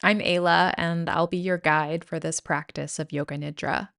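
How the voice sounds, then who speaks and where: A woman guiding a yoga nidra meditation in a soothing, gentle, measured way with pauses. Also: on the low side, fairly even intonation, creaky, clean tone. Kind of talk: guided meditation narration.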